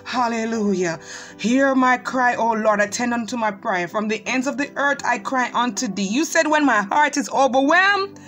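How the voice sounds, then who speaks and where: A woman's voice: fairly high pitch, moderate pace, fairly bright tone, faint music behind. A woman leading fervent spoken prayer, reciting scripture and pleading with repeated exclamations of praise. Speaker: prayer leader.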